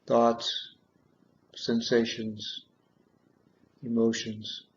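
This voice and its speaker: A man guiding a meditation in measured short phrases with long pauses, on a dull, muffled recording. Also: mid-range voice, monotone. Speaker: guided meditation leader. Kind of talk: guided meditation, slow soothing instruction.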